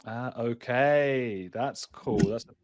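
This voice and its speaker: A man's voice: mid-range voice, slow, a little mellow, no room sound, studio quality. A man hosting a remote interview, upbeat and chatty, sometimes stumbling over his first words. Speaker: podcast host. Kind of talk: interviewer chat.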